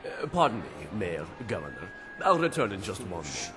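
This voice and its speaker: A man's voice: wildly swooping pitch, brisk, exaggerated voice. An actor voicing an oily, flamboyant male party host in a game cutscene. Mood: smarmy. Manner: flamboyant, drawling, swoops in pitch. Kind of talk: video game cutscene acting. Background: background music.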